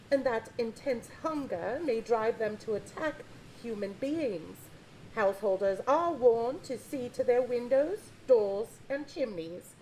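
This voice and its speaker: Woman acting an animated, urgent radio news announcer. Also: a little high, a little hiss or hum, low-quality recording. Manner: formal, urgent. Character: grave female radio news announcer reading emergency bulletins.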